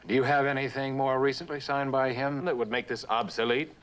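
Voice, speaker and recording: An actor playing a determined, sardonic traveler in a suspense drama, speaking in clipped, insistent, dryly sarcastic lines. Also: male voice, medium pitch, flat delivery, fairly quick, a bit bright, close to an everyday voice. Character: determined, sardonic male traveler pressing for answers.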